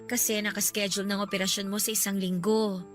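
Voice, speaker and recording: A woman's voice: mid-range voice, level tone, fast talker, faint music behind, natural voice. A woman acting as a devoted, guilt-ridden young sweetheart in a radio drama, with an earnest, plaintive delivery. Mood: reassuring, worried.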